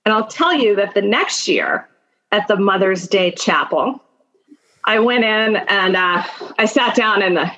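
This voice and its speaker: A woman's voice: medium pitch, expressive, unhurried, bright, forceful tone, muffled recording. A middle-aged woman giving career advice and telling personal stories as a guest in a conversation, emphatic and encouraging, speaking straight to the listener. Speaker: podcast guest, attorney and mentor.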